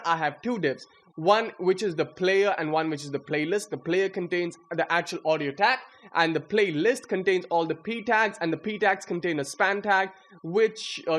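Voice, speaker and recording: A young man giving a casual, slangy walkthrough of his code, excitable and self-deprecating. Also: sits high. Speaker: coder presenting own project. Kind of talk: casual screen-recorded coding walkthrough.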